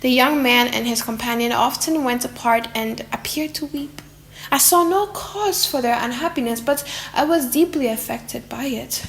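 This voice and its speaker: A woman voicing a lonely, tender monster in a dramatic, slightly silly audiobook reading, theatrical and emotive with drawn-out words. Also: fairly high pitch, gravelly, a little hiss or hum. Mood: wistful, melancholy. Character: lonely, tender, wretched monster, eager to learn. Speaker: audiobook narrator.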